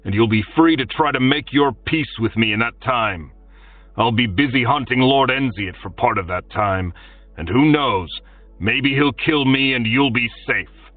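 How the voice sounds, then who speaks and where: A man's voice: mid-range voice, no room sound, recorded from a distance, narrow, tinny audio, voice pushed up, close to an everyday voice. A man voicing a defiant young swordsman who cheerfully taunts his enemy.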